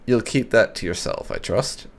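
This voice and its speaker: A man's voice: on the low side, animated, normal speed, creaky, a little hiss or hum. A man giving roleplay playthrough commentary on a video game, musing with wry understatement. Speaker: gaming channel host.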